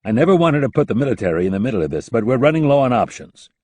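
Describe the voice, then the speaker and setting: A man's voice: medium pitch, sing-song, very fast, darkish tone, no room sound, pitched above the performer's own voice. A man voicing a cocky, blunt male covert operative who curses and talks down to people. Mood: curt. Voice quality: blunt, scornful, cursing.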